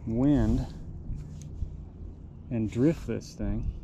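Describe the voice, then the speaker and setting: A man's voice: medium pitch, very slow, lo-fi sound. A middle-aged American man casually explaining his fishing gear while out on a lake, recorded on an action camera. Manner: relaxed, chatty, explains gear step by step. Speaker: outdoor vlogger. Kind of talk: casual how-to narration. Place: outdoors on a lake, on a paddle board. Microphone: action camera mounted on the board. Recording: faint wind and water noise.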